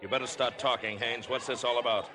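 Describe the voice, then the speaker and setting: An actor playing a commanding, skeptical police captain in clipped, authoritative 1950s radio crime style. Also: male voice, mid-range voice, fairly even intonation, fairly quick, lightly acted. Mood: gruff, skeptical. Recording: old radio broadcast, faint crowd.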